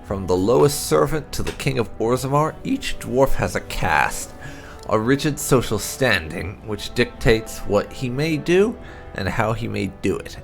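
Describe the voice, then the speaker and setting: A man narrating fantasy lore from a script in a measured storyteller's manner. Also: mid-range voice, lively intonation, gravelly, faint music behind. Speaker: gaming channel host reading game lore aloud.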